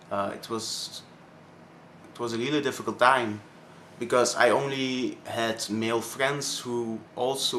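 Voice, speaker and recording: A man of twenty-one from Belgium with a Flemish accent, his first language Dutch, speaking haltingly with many pauses in a personal vlog. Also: mid-range voice, a bit bright, a little hiss or hum. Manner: hesitant, many ums and pauses. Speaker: vlogger.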